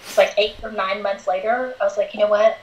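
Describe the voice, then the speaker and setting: A woman's voice: mid-range voice, steady tone, brisk, echoey room, rough recording. A woman in her forties, a guest in a casual interview, talking candidly and laughing easily. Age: 40s.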